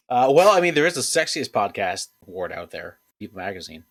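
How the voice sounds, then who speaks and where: A man's voice: fairly low pitch, big pitch swings, moderate pace, a bit bright. A man co-hosting a podcast, laid-back, with short reactions and dry jokes. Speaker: podcast co-host. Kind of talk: casual podcast chat.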